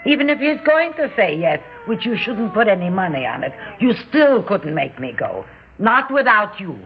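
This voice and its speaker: An actress playing an indignant, loyal older shopkeeper's wife, scolding in Yiddish-inflected phrasing in an old-time radio drama. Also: female voice, medium pitch, very animated, normal speed, natural voice. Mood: reproachful. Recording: noisy, muffled old radio recording.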